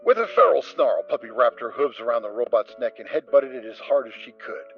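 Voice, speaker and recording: A man narrating a dramatic reading of a story, steady storytelling with occasional stumbles. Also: medium pitch, a little fast, gravelly. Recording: dull lo-fi amateur mic with soft music.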